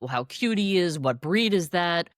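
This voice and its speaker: A woman guest in a podcast interview, upbeat and expressive, with frequent restarts and laughs. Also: on the low side, measured pace, no room sound, studio quality. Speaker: guest, dog meetup organizer. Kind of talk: casual interview chat.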